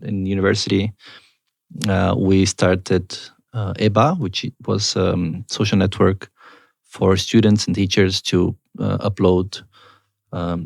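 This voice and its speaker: A startup co-founder in his thirties, a man from Argentina, speaks in a very deep, gravelly voice. He talks haltingly, filling gaps with ums and restarting his sentences. The sound is dry and close. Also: darkish tone, no room sound. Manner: hesitant, many ums and restarts. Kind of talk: casual interview answers.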